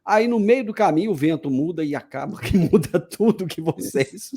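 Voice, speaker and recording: A man's voice: on the high side, expressive, normal speed, a crowd in the background. A man hosting a casual interview, asking friendly, joking questions. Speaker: host.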